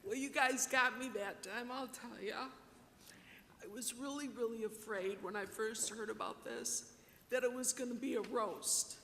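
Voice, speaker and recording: A woman with a high, gravelly, bright voice speaks earnestly at a podium, repeating words for emphasis. She sounds distant, with long hall reverb and a faint rumble behind her. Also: a little slow. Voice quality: self-described low voice. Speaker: award recipient giving an acceptance speech. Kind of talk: heartfelt acceptance speech. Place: large banquet hall, in front of a dinner audience.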